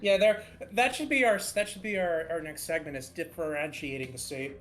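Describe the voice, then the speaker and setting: A woman's voice: low voice, fairly quick. A woman co-hosting a casual stream, tossing in dry joking quips. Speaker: co-host.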